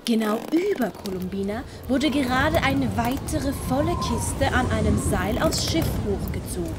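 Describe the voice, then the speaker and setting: A woman's voice: a little high, lively intonation, moderate pace, very noisy. A woman with a Swiss German accent reading a scripted children's story as narrator. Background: dramatic music and sound effects.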